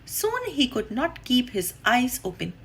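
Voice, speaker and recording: A woman reading a children's story aloud, deliberately and expressively, with drawn-out emphasis. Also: a little high, huge pitch swings, fairly bright tone, slight background noise.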